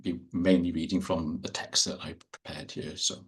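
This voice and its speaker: Deep-voiced man reading a talk. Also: flat delivery. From Wales. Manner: measured, hesitant with ums before settling into reading. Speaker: architect giving a talk. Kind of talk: reading a prepared talk over video call. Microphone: video call.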